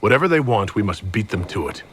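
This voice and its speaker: A man playing a calm, kindly leader in a video game, measured and direct in manner. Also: on the low side, slightly rough. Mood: resolute. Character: calm, kindly male leader of a fantasy order. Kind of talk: video game protagonist acting.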